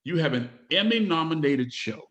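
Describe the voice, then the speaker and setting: A man's voice: a little high, animated, normal speed, a bit bright. A man interviewing a guest, asking short questions and giving brief encouraging murmurs.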